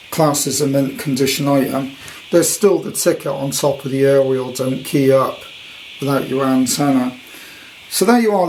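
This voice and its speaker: A man's voice: mid-range voice, darkish tone, slight background noise, rough recording. A British man in his sixties giving a casual, rambling, unscripted show-and-tell as a hobby collector. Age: 60s. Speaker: radio collector presenting his item.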